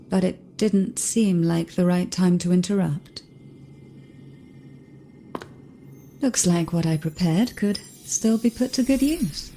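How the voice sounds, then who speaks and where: An actress playing a calm, knowing woman guardian in a fantasy game, speaking in a measured, solemn way. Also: on the low side, slight background noise. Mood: composed.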